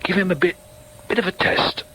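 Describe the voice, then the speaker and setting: An actor playing an earnest, wary middle-aged communist trade unionist father with a Northern English accent, halting and plain-spoken, in a naturalistic radio drama. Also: high-pitched, pushed and bright, a little hiss or hum, low-quality recording. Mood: suspicious. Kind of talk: naturalistic radio drama acting, dialogue and inner thoughts.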